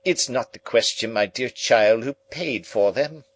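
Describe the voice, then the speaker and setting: A man's voice: mid-range voice, measured pace, pitched above the performer's own voice, close to an everyday voice. A man voicing an indignant, self-pitying, theatrical middle-aged society lady in an audiobook, with emphatic, exclamatory delivery. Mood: righteous indignation. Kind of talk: dramatised audiobook reading.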